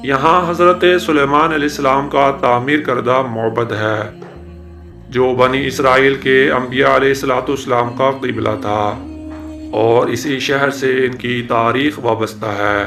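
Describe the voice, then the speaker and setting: A man giving a scripted religious history narration in a formal, measured manner with ornate literary phrasing. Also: medium pitch, steady tone, fairly bright tone. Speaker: narrator and presenter of a religious history video series. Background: background music.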